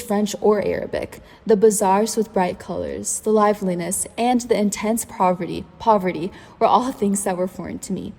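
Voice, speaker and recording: A woman's voice: medium pitch, warm tone, natural voice. A woman narrating in first person as a young man looking back on his life, earnest and read from a script. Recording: long reverb.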